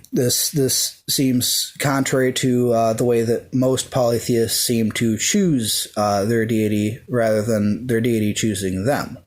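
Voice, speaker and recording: A man speaking off the cuff about his personal faith, hesitant and soft-spoken, with lots of ums and uhs and restarts. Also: medium pitch, fairly even intonation, takes it slowly. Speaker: pagan devotee sharing his views. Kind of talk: unscripted talk to camera.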